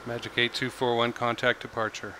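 A man playing air traffic controller, speaking in clipped, deliberate procedural phrases with muttered commentary. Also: medium pitch, level tone. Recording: very noisy, engine drone behind. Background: flight simulator aircraft ambience.

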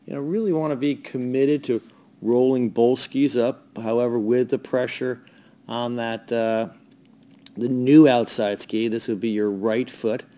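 A man coaching a young ski racer in a voice-over, talking over video in a relaxed, hesitant way with many fillers. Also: mid-range voice, a little slow.

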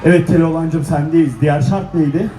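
A man's voice: on the high side, steady tone, a little fast. A man hosting a children's stage event, rallying the audience with exclamations and prompts. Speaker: children's show host. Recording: echoey hall, loud music and crowd.

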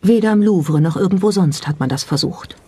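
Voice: mid-range voice, a little fast, a little mellow, slightly rough, dry recording. A narrator reading a scripted documentary in a pointed, skeptical, rhetorical manner. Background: background music.

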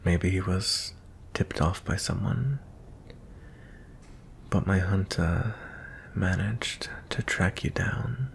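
Deep, slow, gentle fantasy prince acted by a man. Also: vocal fry, slight background noise, exaggerated voice. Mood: apologetic, gentle. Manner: soft-spoken, hesitant, breaking into whispers. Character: gentle, merciful young fantasy prince, male. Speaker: audio roleplay performer. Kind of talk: intimate ASMR fantasy roleplay acting.

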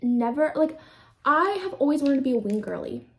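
A woman's voice: sits high, big pitch swings, normal speed. A young woman in her twenties from the United States, chatting casually while doing her makeup in a small room, rambling and filling her talk with "like". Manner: chatty, rambling, heavy use of "like". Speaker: beauty vlogger. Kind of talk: casual get-ready-with-me chat. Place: small indoor room with a plain backdrop.

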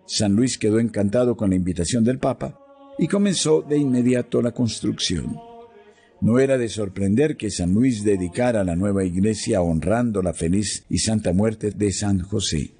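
A man reading a devotional text in a slow, solemn, measured way, pausing between phrases. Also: medium pitch, slightly rough. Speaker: devotional reader. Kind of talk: slow scripted devotional narration. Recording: soft music underneath.

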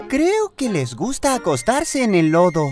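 Casting: cheerful young boy engine, Latin American accent, lively swooping pitch, unhurried, for a children's cartoon. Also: soft background music. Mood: excited, curious.

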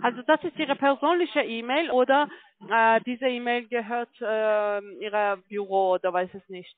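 A woman speaking as a non-native call centre agent on the phone, halting and insistent, in broken sentences. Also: on the high side, dry recording. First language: not German. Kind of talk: unscripted phone conversation. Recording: muffled narrow phone line.

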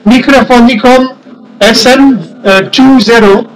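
A man from France with a French accent, a native French speaker, making a radio contact as an amateur operator in a halting, repetitive way. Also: extremely high pitch, fairly even intonation, rough recording. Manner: halting, repeats numbers and phrases.

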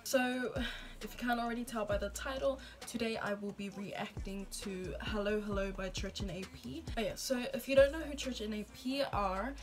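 A woman's voice: mid-range voice, normal speed, few pauses. Chatty young New woman. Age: young adult. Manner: chatty and rambling, peppered with "like". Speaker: vlogger. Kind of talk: casual reaction vlog chat. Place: small room indoors.

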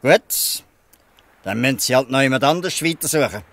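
A gruff old fisherman in a Swiss German radio play. His pitch swings widely as he puts sly, pointed questions, protective and ironic. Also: expressive, moderate pace, a bit bright. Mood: reproachful, pointed. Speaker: actor in an audio drama. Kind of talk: dialect radio play acting.

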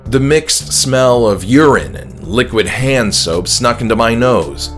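A man reading a scripted first-person horror story as an audiobook narrator, in a measured, dramatic way. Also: medium pitch, expressive. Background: music.

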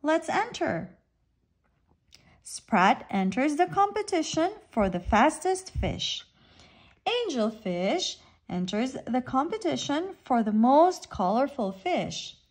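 Slow, high-voiced woman reading a storybook. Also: big pitch swings. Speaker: storybook reader. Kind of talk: animated children's read-aloud narration.

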